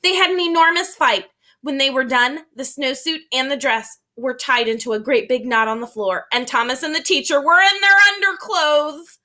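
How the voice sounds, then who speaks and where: A woman reading a children's storybook aloud as a teacher, expressive and emphatic, explaining things to young listeners. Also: high voice, moderate pace.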